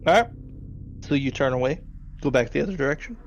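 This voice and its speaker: A man's voice: mid-range voice, lively intonation, quick pace, highs cut off, slight background noise. A man running a tabletop game as game master, describing scenes in a matter-of-fact, conversational way. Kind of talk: casual tabletop game narration.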